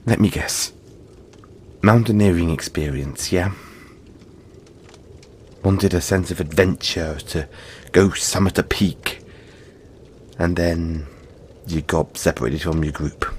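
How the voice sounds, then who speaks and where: A man acts out a big cuddly yeti boy for an audio roleplay. He speaks very slowly in a lowish voice, gentle and polite, often halting as he reassures the listener. There is clear noise behind the voice. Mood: gentle, explanatory. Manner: soft-spoken reassurance, halting, polite and chatty. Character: huge, gentle, cuddly young male yeti monster.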